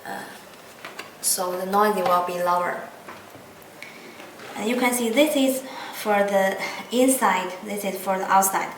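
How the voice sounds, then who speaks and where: A woman who is not a native English speaker gives an unscripted product demonstration, hesitant and pausing often mid-phrase. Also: mid-range voice. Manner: halting, hesitant speech with frequent pauses mid-phrase. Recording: roomy echo, distant mic, background noise.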